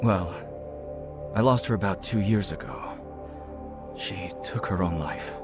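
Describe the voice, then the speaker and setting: Low-voiced, polished male executive. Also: loud music behind the voice. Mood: somber, measured. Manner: measured, formal, articulate. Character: polished, courteous male CEO and grieving widower. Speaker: company executive, client. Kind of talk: English-dubbed video game drama dialogue.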